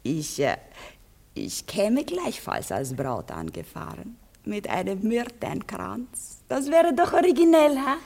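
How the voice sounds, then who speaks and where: A woman acting a clinging, jealous, excitable theatre mistress in a German radio comedy, theatrical, coquettish and reproachful. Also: mid-range voice, takes it slowly, vocal fry, heavily acted. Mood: excited, mocking.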